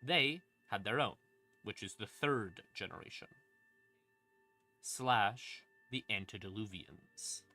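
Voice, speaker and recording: A man's voice: on the low side, lively intonation, takes it slowly, bright, forceful tone. A man casually explaining lore as a streamer, irreverent and sweary, with wry asides. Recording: eerie ambient music behind voice. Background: creepy ambient music.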